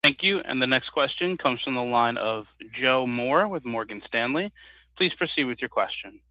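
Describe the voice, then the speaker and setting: A man's voice: mid-range voice, lively intonation, normal speed, no room sound. A man working as a conference call operator, formally introducing callers from a script. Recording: dry, slightly dull conference line.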